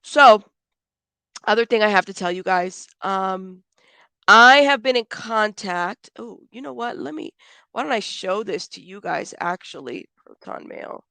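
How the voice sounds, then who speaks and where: A woman hosting a live commentary stream, stuffed up and a little hoarse, talking bluntly and chatting with viewers by name. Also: medium pitch, expressive, unhurried, pushed and bright, no echo. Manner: blunt, frank, calls people out, swears; chatty, greets viewers by name, many fillers. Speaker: YouTube commentary host.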